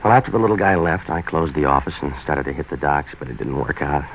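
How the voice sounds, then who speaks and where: An actor playing a tough, cynical waterfront fixer, deadpan and terse, in 1940s hardboiled radio drama. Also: male voice, rumbling low, fast. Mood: wry, world-weary. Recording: noisy vintage 1940s radio broadcast.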